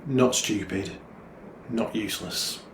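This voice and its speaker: A man with a northern English accent voicing a gentle, reassuring father in a storybook reading, tender and encouraging. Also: on the low side, slow pace. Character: gentle, reassuring dad comforting his son. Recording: roomy echo, clear background noise.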